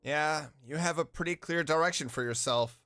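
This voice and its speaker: A streamer reacting casually out loud to a video game. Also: medium pitch, moderate pace. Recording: game music behind the voice.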